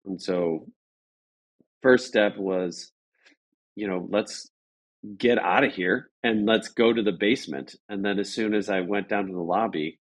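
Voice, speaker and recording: A man's voice: fairly low pitch, a little slow. A man telling an anecdote as an interview guest, casual and agreeable, often stopping to correct himself.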